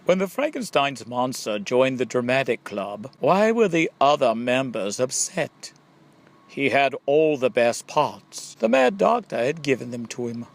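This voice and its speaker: A man reading scripted question-and-answer jokes and riddles as an audiobook narrator, playfully setting up each question and drawing out the punchlines. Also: mid-range voice. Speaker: audiobook reader.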